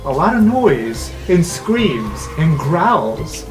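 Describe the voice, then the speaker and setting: A woman works as game master, narrating an improvised fantasy tabletop scene. Her pitch moves around in a lively way and faint music plays behind her. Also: very slow.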